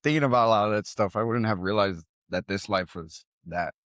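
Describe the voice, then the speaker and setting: A young man from South Texas, a DJ guest in a barbershop, talking in a relaxed, rambling way full of "like" and "bro". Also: fairly low pitch, fairly quick, no room sound, clean studio sound. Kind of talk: casual chat.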